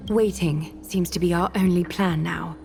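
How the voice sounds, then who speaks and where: An actress playing a commanding female demigod ruler in a video game, speaking in a formal, measured, solemn way. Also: fairly low pitch, fairly even intonation, gravelly. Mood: solemn, thoughtful. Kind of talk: scripted video game acting. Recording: music behind the voice.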